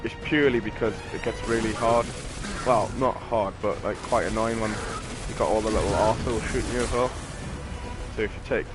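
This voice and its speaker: British guy streaming a game, deepish voice, rambly and sweary, music n gunfire going. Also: fairly even intonation, brisk. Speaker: gaming streamer. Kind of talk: casual gameplay commentary with banter.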